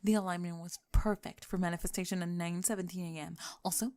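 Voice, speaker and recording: A woman's voice: on the low side, steady tone, quick pace, darkish tone, no echo, voice pushed down, lightly acted. A woman voicing an eccentric, mystical mother of the bride in an ASMR roleplay, making airy, grandiose New Age pronouncements. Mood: insistent.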